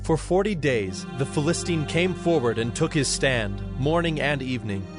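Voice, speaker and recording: A man narrating scripture from a script in a measured, formal manner. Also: medium pitch, lively intonation, over music.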